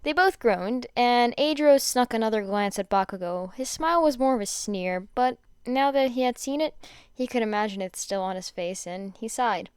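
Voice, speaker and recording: A woman's voice: fairly high pitch, moderate pace, clean tone, no echo, studio quality. A woman reading fan fiction aloud as an amateur narrator, often stumbling and rereading phrases. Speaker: podfic reader.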